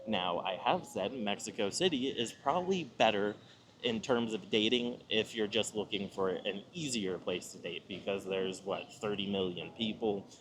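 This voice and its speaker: An American man with a fairly low voice speaks as a vlog host giving advice. He explains in a measured, informal way, pausing for emphasis. A light wash of ambient noise sits behind him. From Nebraska, United States. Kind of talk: informal monologue to camera.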